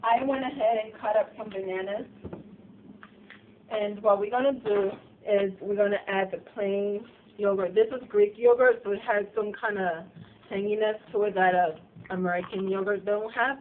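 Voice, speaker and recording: A slightly hoarse woman chatting loosely through a cooking demonstration in her home kitchen. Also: medium pitch, steady tone, moderate pace. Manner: chatty, loose, with fillers and restarts. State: slightly hoarse, getting sick. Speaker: cooking show host. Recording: distant, tinny and noisy home video.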